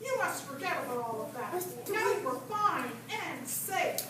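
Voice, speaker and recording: A woman's voice: extremely high pitch, very animated, normal speed. A woman playing a brave, earnest young prince with a bold, declamatory manner in a children's puppet show. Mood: tender. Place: library event room, live show. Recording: distant, echoey, very noisy room.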